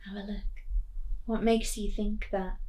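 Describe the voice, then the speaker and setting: A woman reading a picture book to children as a teacher, deliberate and expressive, putting questions to her young listeners. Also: medium pitch.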